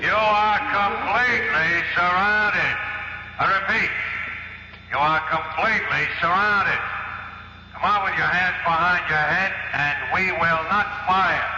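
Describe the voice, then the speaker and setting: A man's voice: fairly high pitch, bright, forceful tone. A man playing a weary, terse, authoritative narcotics bureau chief in a 1970s radio drama. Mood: shouting orders. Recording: muffled old radio broadcast, faint noise.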